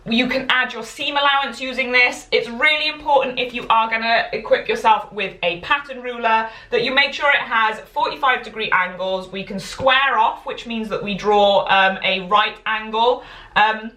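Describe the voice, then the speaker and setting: Bright-voiced Welsh woman teaching sewing. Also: a little high, normal speed. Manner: rambling, prone to tangents; friendly and encouraging. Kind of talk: chatty live-stream tutorial. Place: home. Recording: echoey room.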